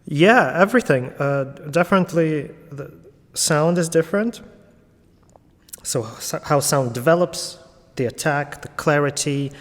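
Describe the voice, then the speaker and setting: A man's voice: medium pitch, big-room sound. A man in his thirties from Latvia and raised in Ukraine, a classical pianist chatting casually as an interview guest, thoughtful and full of fillers and restarts.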